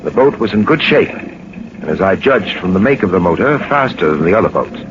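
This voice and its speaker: An actor playing a suave, commanding gentleman detective in a 1940s radio drama, speaking with assured authority. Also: low-pitched, lively intonation, normal speed. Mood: calm, explanatory. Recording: noisy, dull 1940s radio recording.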